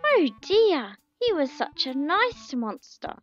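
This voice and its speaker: A woman voicing an eager young boy teddy bear, shrill and excited. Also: slow, dull audio, soft background music, exaggerated voice. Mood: sad.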